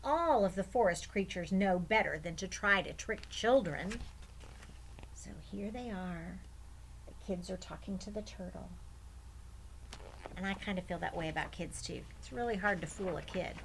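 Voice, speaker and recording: A woman's voice: mid-range voice, moderate pace, slight background noise, rough recording. A woman storyteller reading expressively to children, with chatty asides.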